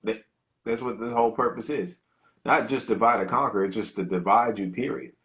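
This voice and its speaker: A man with a lowish voice makes his points emphatically in casual podcast talk. The audio is a little dull and lo-fi. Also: brisk. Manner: conversational, emphatic, backchannels often. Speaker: podcast co-host.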